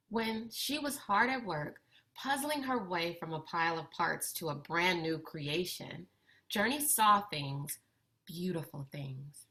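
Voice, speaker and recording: A woman reading a children's picture book aloud with deliberate, expressive phrasing. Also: fairly low pitch. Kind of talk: read-aloud storytelling for children.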